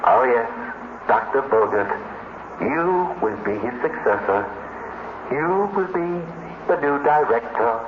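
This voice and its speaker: A man acting as a telepathic robot in a 1950s radio drama, deliberate, theatrical and insinuating. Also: medium pitch, lively intonation. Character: telepathic male robot, knowing, insinuating, flattering. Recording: noisy, tinny 1950s radio recording, echoey.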